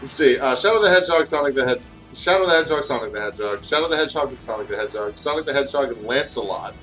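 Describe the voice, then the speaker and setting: A man chats casually and reads aloud in a loose, giggly way, with an ordinary mid-range pitch. Faint video game music plays behind him. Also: expressive, very fast, a bit bright. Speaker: guest co-host reading fan fiction. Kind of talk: casual chat and reading aloud over a game.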